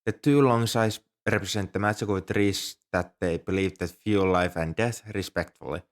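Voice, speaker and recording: Man voicing a low-pitched, nerdy ancient dragon. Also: steady tone, moderate pace, natural voice. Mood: enthusiastic, explaining. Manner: polite, earnest, slightly prim and scholarly. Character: ancient nerdy dragon, polite coin collector, easily miffed. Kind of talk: ASMR roleplay, one-sided dialogue with the listener.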